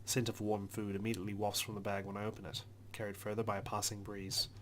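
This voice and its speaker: Low-voiced man reading game narration. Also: monotone, fast, a little hiss or hum. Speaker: let's player. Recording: music behind the voice.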